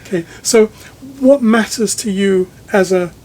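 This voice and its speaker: A man conducting a technical interview in a conversational way, speaking in measured, thoughtful, sometimes halting phrases. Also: high-pitched, a little mellow. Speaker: magazine editor interviewing a speaker designer. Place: listening room in a home.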